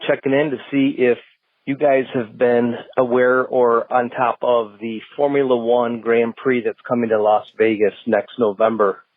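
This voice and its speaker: A man's voice: mid-range voice, little pitch movement, moderate pace, dry recording, phone-quality sound. A man in his forties, calling in with a casual voicemail over the phone. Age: 40s. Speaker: podcast caller.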